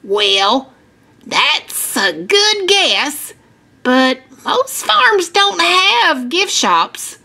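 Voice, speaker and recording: Storybook farmer, female reader: kindly, folksy, patient tour guide, unhurried pace, very animated pitch. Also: full character voice. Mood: friendly, instructive. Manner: patient, reassuring explaining.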